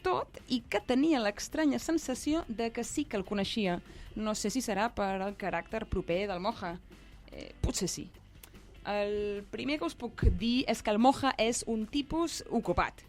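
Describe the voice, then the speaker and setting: A woman's voice: fairly high pitch, normal speed, a little mellow. A woman with a Catalan accent co-hosting a talk show, moving between prepared introductions and casual banter. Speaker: co-host.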